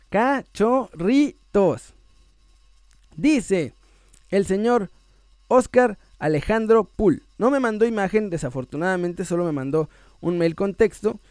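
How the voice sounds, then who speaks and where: A man's voice: high voice, lively intonation, a little slow, darkish tone, clean tone, no echo, studio quality. A man with a Mexican accent hosting a sports news channel, talking casually and playfully to viewers as he reads out messages, stretching words for emphasis. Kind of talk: casual chat to viewers, reading out messages and shout-outs.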